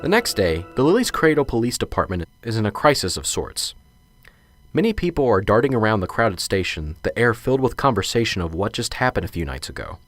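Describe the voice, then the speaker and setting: A man's voice: fairly low pitch, big pitch swings, a little fast, fairly bright tone, faint music behind. A man reading scripted narration for a radio drama.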